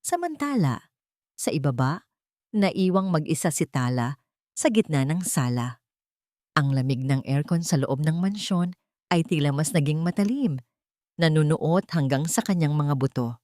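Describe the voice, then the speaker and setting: A Filipino-accented woman narrates a scripted audiobook drama. Her voice sits a little low for a woman. The recording is very dry, with no room sound. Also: animated, measured pace, pauses often, darkish tone, dry recording.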